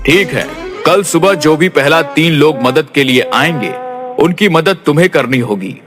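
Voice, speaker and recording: A man's voice: on the high side, lively intonation, normal speed, natural voice. A man voicing a fair-minded king in a cartoon story, formal and authoritative. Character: stately, fair-minded king judging his subjects. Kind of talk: cartoon moral story acting. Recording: music behind.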